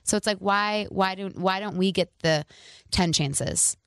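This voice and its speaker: A woman's voice: mid-range voice, measured pace, smooth, dry recording, clean studio sound. A woman in her thirties from the American Midwest, a country singer guesting on a radio show, talking in a bubbly way and laughing often. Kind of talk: casual interview chat. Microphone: studio microphone.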